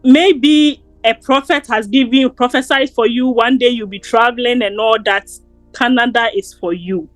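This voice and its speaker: A woman's voice: on the high side, moderate pace, dry recording, soft background music. A young Ghanaian woman with a Ghanaian accent, talking earnestly as an interview guest, often repeating words. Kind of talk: casual interview.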